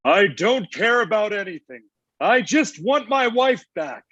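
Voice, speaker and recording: High-pitched, sulky Santa Claus played by a man. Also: big pitch swings, measured pace, sharp tone, no echo, heavily acted. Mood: needy, sulky. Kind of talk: comedic script table read. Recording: dull lo-fi online call.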